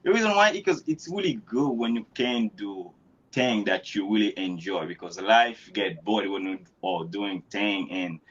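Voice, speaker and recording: A man from Haiti whose first languages are Creole and French, practicing English in a relaxed chat, halting and repeating words and laughing often. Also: on the low side, husky, highs cut off. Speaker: English learner. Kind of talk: casual conversation practice.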